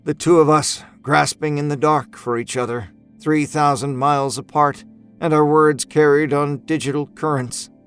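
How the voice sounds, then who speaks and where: A man narrating a first-person horror story in a measured, brooding, expressive way. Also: fairly high pitch, smooth. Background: music score.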